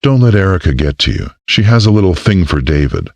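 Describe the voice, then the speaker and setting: A man's voice: deep voice, big pitch swings, darkish tone, dry recording, pitched above the performer's own voice. Male reader doing a commanding woman mayor, clipped, dry booth sound. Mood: reassuring. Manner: brisk and commanding. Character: firm woman town mayor, no-nonsense.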